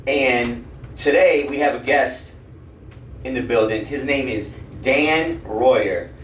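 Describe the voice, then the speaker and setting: Male podcast host, casual interviewer, medium pitch, echoey room, distant mic. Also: takes it slowly, a little hiss or hum.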